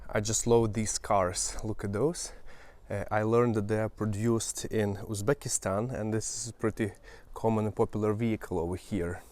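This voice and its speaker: A man's voice: fairly low pitch, little pitch movement, normal speed, hardly any pauses, a little mellow. Ukrainian-accented man chatting through a walk. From Western Ukraine. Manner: chatty, pointing things out to viewers. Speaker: travel vlogger. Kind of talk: casual walking travel commentary. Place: outdoors walking through an old town.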